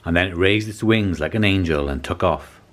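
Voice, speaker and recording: A man reading a children's story aloud as scripted narration, in an expressive storytelling manner. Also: low voice, normal speed. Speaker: storybook reader.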